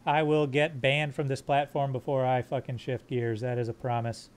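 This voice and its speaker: A man streaming a game live, joking crudely with his chat in a fairly monotone voice while sorting his squad's gear. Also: mid-range voice, normal speed, clean tone. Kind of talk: live gameplay commentary.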